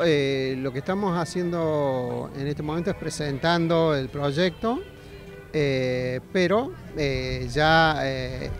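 A middle-aged man with an Argentine accent answering interview questions outdoors into a handheld mic, with halting, hesitant phrasing. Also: fairly high pitch. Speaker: agronomy faculty representative being interviewed. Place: outdoors at a busy fairground. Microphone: handheld interview microphone.

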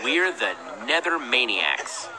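A man's voice: mid-range voice, sing-song, slow pace, background noise. A man playing a plucky young team leader in a video game, cocky and encouraging. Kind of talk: animated video game voice acting.

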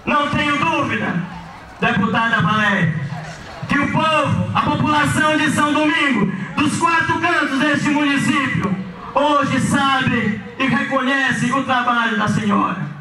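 A man's voice: very high voice, unhurried, noisy background. A young man from Maranhão with a Brazilian accent, giving a declamatory speech outdoors at night, often raising his voice, on a handheld mic. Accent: Brazilian Portuguese, from Maranhão. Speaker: local community leader giving a speech. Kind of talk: outdoor public speech at a community event. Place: outdoors at night on a street, crowd around. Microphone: handheld mic into a PA system. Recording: echoey PA sound from a far camera, loud ambience.

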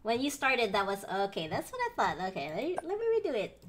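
A woman's voice: on the high side, huge pitch swings, fast talker, over music. A woman in her thirties, a native Tagalog speaker, chatting casually on a live stream in a giggly, self-mocking way. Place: home room, indoors. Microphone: headset, webcam framing.

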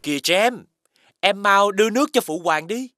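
A man playing a sly prince who sounds dutiful while accusing his brother in an animated fairy tale. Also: high voice, normal speed, dry recording, clean studio sound. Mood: urgent.

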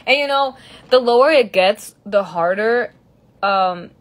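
A young woman chats with fans on a livestream, giggling often and trading English for Spanish. She talks at an unhurried pace with a middling pitch. The sound comes from a phone held at arm's length in a large indoor room. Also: lively intonation. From Mexico. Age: young adult. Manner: giggly, chatty, switches between English and Spanish. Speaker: singer answering fans on a livestream. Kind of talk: casual livestream chat. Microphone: phone camera at arm's length.

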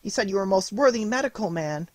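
A reader voicing Marie, an earnest, excitable young girl who pleads to be believed. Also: mid-range voice, quick pace, no echo, voice pushed up, lightly acted. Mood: eager. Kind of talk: audiobook character reading.

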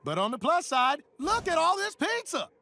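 Half-robot teen hero, cartoon, exuberant, slangy, gravelly, animated. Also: male voice, very high-pitched, normal speed, a little hiss or hum, full character voice. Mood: easygoing. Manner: exuberant, slangy whooping delivery. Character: goofy, easygoing half-robot teen superhero. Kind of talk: cartoon voice acting. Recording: loud music behind.

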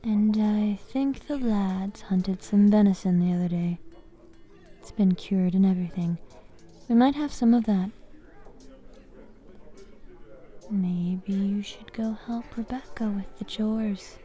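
A woman playing a bossy but caring young fighters guild master in an ASMR fantasy roleplay, soft-spoken and commanding. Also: medium pitch, natural voice. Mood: softening. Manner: soft-spoken, commanding, frequent sighs and pauses.

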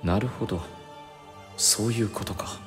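An actor playing a courteous, enigmatic male sorcerer in an anime game, speaking with calm, measured, formal politeness. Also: lowish voice, natural voice. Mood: grave, resolved. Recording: long reverb over background music.

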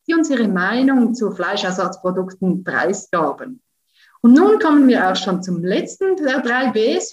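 A young woman from Switzerland with a Swiss German accent, presenting her research over a video call in a lively, playful way. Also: fairly high pitch. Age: young adult. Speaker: science slam presenter. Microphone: video call, computer mic. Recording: roomy, distant, dull sound.

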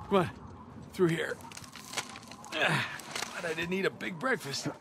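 A man's voice: fairly high pitch, sing-song, a little slow, creaky, background noise, heavily acted. An actor playing a wry, joking male treasure hunter in a video game cutscene, trading dry banter.

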